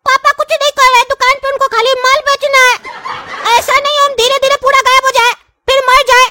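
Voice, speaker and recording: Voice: extremely high pitch, flat delivery, moderate pace, sharp tone, no room sound, clean studio sound, full character voice. A performer voicing a whiny young male cartoon pumpkin, a complaining younger brother in a comic cartoon. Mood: despairing.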